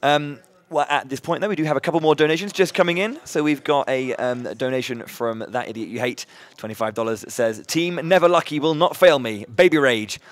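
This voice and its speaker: A man hosting a live charity gaming marathon, loud-voiced and upbeat, chatting between runs with many fillers and restarts. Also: on the high side, brisk, few pauses, dry recording.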